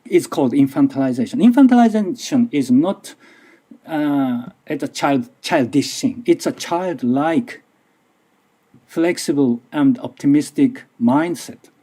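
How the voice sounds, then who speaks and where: A man's voice: a little high, animated, a little slow, dark tone, breathy edge. A middle-aged man from Japan with a Japanese accent, speaking English as a second language and giving a careful, slightly nervous conference talk with frequent restarts. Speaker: university lecturer. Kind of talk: conference presentation.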